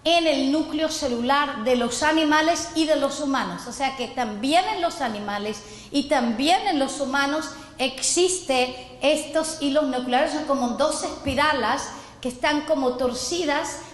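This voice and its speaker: A woman's voice: high-pitched, measured pace. A middle-aged woman from Chile giving an earnest sermon lesson, reading scripture and asking listeners to repeat after her. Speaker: messianic apostle teaching. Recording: echoey hall, roomy long reverb.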